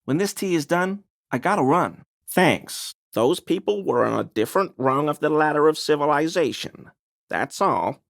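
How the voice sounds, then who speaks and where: Game role: fussy, defensive male cryptid obsessive; pedantic and wordy, lively swooping intonation, close dry sound. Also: a little high, normal speed, vocal fry, no room sound. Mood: grumbling, impatient, then defensive, uneasy. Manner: pedantic, wordy, evasive, prone to grumbling. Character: fussy defensive man, amateur cryptid enthusiast and conspiracy believer. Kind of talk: video game character dialogue.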